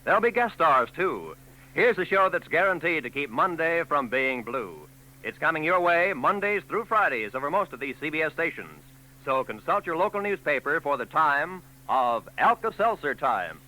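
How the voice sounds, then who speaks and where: A man announcing credits and commercials on a 1940s network radio broadcast in a crisp, formal delivery. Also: on the high side, sing-song, moderate pace, bright, forceful tone, rough recording. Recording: old radio broadcast with faint music.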